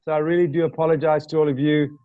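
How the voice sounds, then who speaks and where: A man joins a video call late, his voice a bit higher than most. He sounds apologetic, and the line is dull and dry. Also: flat delivery, quick pace, no room sound. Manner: apologetic, warm thanks. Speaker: nonprofit partner.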